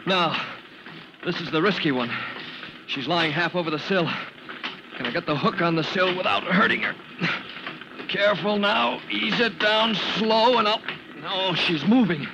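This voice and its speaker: Casting: gutsy young rookie fireman, high, earnest, strained, on a noisy vintage radio recording. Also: unhurried, vocal fry, pauses often. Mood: tense effort. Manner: strained muttering to himself, earnest. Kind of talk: old-time radio drama acting.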